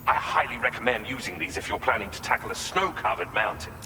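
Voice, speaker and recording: A man's voice: fairly low pitch, quick pace, sharp tone, in a large hall, a little hiss or hum. An actor playing a courteous, eager male researcher in a video game, speaking in formal, articulate phrases. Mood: eager, grateful. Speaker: researcher character. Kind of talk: video game cutscene dialogue.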